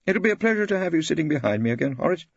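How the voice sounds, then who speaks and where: A man's voice: a little high, big pitch swings, racing, gravelly, breathy edge, dry recording, dull audio, pitched above the performer's own voice, heavily acted. A man voicing a tall, self-satisfied, breezy senior barrister who sounds genially patronising. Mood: smug, breezy. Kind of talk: audiobook character dialogue.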